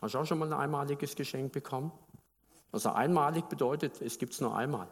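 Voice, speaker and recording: Preacher, male, from Baden, mid pitch, chatty sermon delivery, long reverb of a church hall. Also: a little fast. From Baden, Germany. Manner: conversational, asks the congregation questions.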